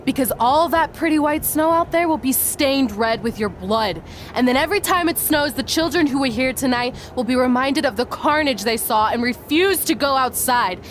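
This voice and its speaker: A woman's voice: high voice, lively intonation, normal speed, a little hiss or hum. A woman playing a hotheaded young rookie wrestler in a video game cutscene. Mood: menacing. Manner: intense, menacing when angered.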